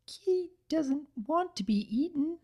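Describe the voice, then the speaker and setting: A woman voicing a tiny, timid living gingerbread cookie girl in a storybook reading, speaking haltingly in simple broken sentences. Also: high voice, animated, mellow, pitched above the performer's own voice. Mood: scared. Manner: simple broken third-person speech, halting.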